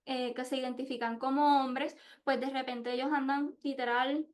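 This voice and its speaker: Female podcast host, very high voice; candid, hesitant delivery of an informal personal talk. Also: flat delivery, moderate pace. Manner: candid, hesitant, searching for words. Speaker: podcast host and international relations researcher. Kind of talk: informal spoken presentation with personal reflections.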